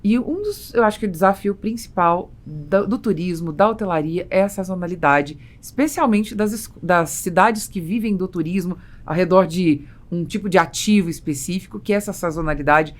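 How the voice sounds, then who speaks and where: A woman's voice: lowish voice, normal speed, hardly any pauses. A Brazilian woman hosting a business podcast, explaining her opinions in a conversational way. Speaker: podcast host and interviewer.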